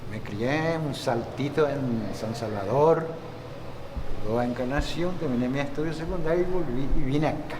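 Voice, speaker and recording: A man's voice: mid-range voice, moderate pace, noisy background. Older Paraguayan man reminiscing, rambling. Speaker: local guide and interviewee. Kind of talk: casual storytelling interview.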